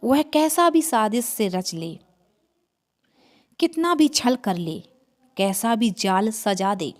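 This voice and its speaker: A woman storyteller voicing an arrogant, bloodthirsty vampire queen in a horror story, with a haughty, commanding manner. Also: on the high side. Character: arrogant five-hundred-year-old vampire queen, man-hating and bloodthirsty.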